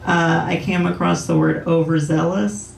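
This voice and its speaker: Deadpan low-voiced young woman comic. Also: gravelly, soft background music. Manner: slow, deadpan, hesitant delivery with many ums. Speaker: stand-up comedian. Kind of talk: deadpan stand-up set. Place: comedy club stage with laughing audience. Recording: roomy club sound from a distance.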